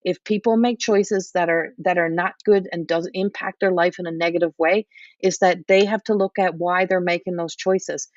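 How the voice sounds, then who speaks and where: A woman's voice: on the low side, steady tone, normal speed, dry recording. A woman host giving a live intuitive guidance reading, talking in an earnest, repetitive coaching way, her voice starting to give out. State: voice going hoarse. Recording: distant, dull lo-fi mic.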